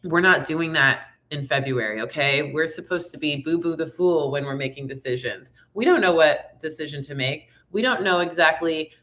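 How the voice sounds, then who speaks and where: A woman's voice: deep voice, moderate pace, few pauses, fairly bright tone. A woman giving a casual tarot forecast, chatty and repetitive, often checking in with her listeners. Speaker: tarot reader.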